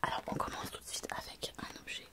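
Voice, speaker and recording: Whispering woman with a cold. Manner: soft whisper throughout, unhurried with many fillers. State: has a head cold. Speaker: ASMR creator. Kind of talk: whispered ASMR show-and-tell.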